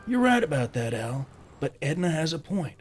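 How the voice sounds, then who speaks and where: An actor playing the friendly but cautious leader of a homeless band in an audio drama, folksy and conversational. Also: male voice, a little high, big pitch swings, normal speed, a little hiss or hum. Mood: uneasy, explaining.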